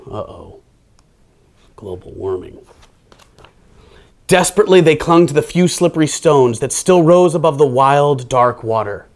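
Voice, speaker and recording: A man hosting children's storytime, reading a picture book aloud with playful, theatrical delivery and casual asides. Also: mid-range voice.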